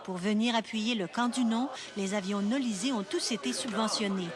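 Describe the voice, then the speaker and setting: Female TV reporter, mid pitch, steady read over audible noise. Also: a loud crowd. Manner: measured broadcast delivery. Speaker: news reporter. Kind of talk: news report narration.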